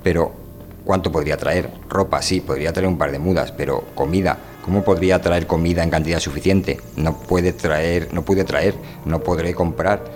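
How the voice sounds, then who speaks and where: A man playing a wary, stubborn visiting scientist from another world in a radio drama, halting and questioning. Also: sits low, fairly even intonation, soft background music, lightly acted. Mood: earnest, frank. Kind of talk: amateur radio drama reading.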